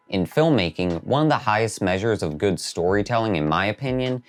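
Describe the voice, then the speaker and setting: A man hosting a video essay, reading scripted narration. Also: low-pitched. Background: background music.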